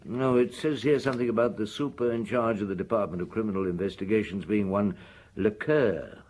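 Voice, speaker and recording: A man's voice: lowish voice, brisk, darkish tone, natural voice. An actor playing a wry French police detective in his fifties in an audio drama, with a dry, musing manner. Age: 50s. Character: wry fifty-year-old French police detective on holiday. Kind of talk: audio drama dialogue and storytelling.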